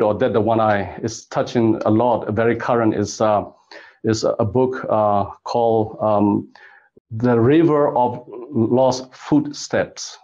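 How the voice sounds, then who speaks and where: A middle-aged man from Burma, answering interview questions in a halting, gentle way as he searches for his words. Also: medium pitch, muffled recording. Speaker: guest expert.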